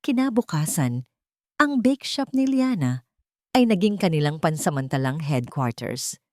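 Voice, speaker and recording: Expressive woman narrator, slightly low voice. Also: unhurried, dry recording, studio quality. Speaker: story narrator. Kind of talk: dramatic scripted narration.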